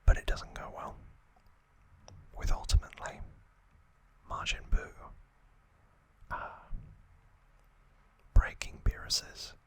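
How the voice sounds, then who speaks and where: A man whispering an ASMR recap as host, in short, deliberate phrases with pauses between them. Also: rough recording.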